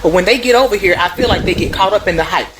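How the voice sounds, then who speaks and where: A woman's voice: medium pitch, rapid-fire. An American woman hosting a video, casually sharing stories and opinions in an emphatic, digressive way. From United States. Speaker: video host. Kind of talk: casual storytelling and opinion to viewers. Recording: clear background noise.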